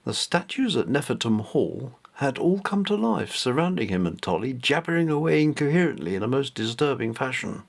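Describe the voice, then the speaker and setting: A man narrates a scripted story in a medium-pitched voice. He reads carefully, as an amateur author would when sharing his own tale. The delivery is that of a plain storybook reading, without professional polish. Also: creaky.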